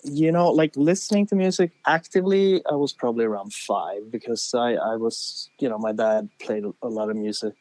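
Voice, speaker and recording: A man's voice: medium pitch, normal speed, few pauses, no echo. Man telling stories, dry sound. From Sweden. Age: 40s. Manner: animated storyteller, acts out his younger self. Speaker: guest musician. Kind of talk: casual storytelling chat. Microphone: phone call.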